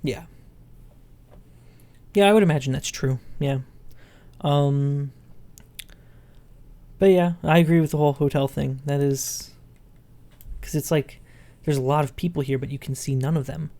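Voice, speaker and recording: A man co-hosting a podcast in casual chat, musing and halting as he repeats words. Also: fairly high pitch, steady tone, warm tone. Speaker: podcast co-host.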